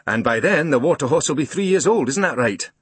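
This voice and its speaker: A man's voice: fairly high pitch, expressive, brisk, husky, dry recording, voice pushed up. A man reading an audiobook voices a sailor father speaking earnestly to his children. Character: cheerful sailor father home for Christmas.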